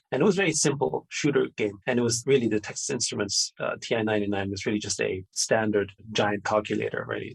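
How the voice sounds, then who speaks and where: A man's voice: on the low side, creaky, slightly rough, rough recording. A middle-aged man from Austria, answering interview questions in a rambling, conversational way with many fillers and restarts. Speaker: podcast guest.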